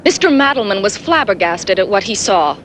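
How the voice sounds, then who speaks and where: An actress playing a composed female TV field reporter, delivering breaking news in measured, formal style. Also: medium pitch, expressive, lightly acted. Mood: composed, serious. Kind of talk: 1980s TV news bulletin acting. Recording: faint noise, 1980s TV broadcast.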